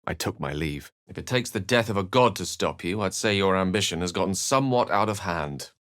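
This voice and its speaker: An actor playing a bookish, genteel wizard in a video game, articulate and measured, with a wry, theatrical way of talking. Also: male voice, low-pitched, animated, a bit bright, no echo, studio quality. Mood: grave narration. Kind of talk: video game voice acting, narration and dialogue.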